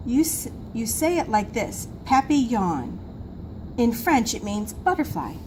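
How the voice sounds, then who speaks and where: Woman, children's read-aloud, highish voice, unhurried, expressive storytelling, noisy room recording. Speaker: school assistant reading to pupils.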